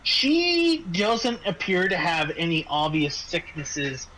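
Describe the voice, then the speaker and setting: Guy game mastering, kinda high voice, repeats himself searching for words, faint noise behind. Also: very animated, slow, bright, forceful tone, rough recording. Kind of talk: improvised tabletop game narration.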